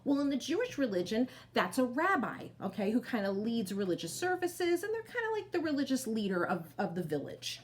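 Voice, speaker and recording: A woman storyteller reading aloud to children, expressive, with chatty asides that speak to them directly. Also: on the high side, brisk, few pauses.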